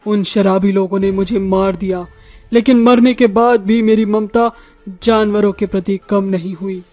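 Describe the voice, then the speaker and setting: A man's voice: high voice, steady tone, unhurried, darkish tone, no echo, soft background music. A man voicing a compassionate female witch ghost in a cartoon, speaking earnestly and plaintively. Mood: earnest, sorrowful. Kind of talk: Hindi horror cartoon voice acting.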